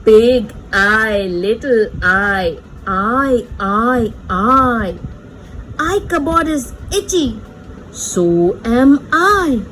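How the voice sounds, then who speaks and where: Female kids' read-aloud presenter, slow and sing-song, playful teacher delivery, faint noise behind. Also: on the high side, expressive, a bit bright. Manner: sing-song, playful, teacherly, repeats words and points things out. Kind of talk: animated picture-book reading for small children.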